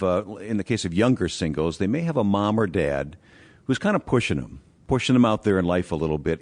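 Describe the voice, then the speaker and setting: A man with a very deep voice conducts an interview. He talks conversationally and frames his questions so that they invite the guest to agree and expand. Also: a little mellow. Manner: conversational, asks leading questions.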